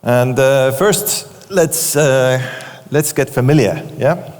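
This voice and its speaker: A man's voice: medium pitch, slow pace, darkish tone. A man moderating a conference panel, talking loosely with many fillers. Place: conference stage in a large hall. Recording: long hall reverb.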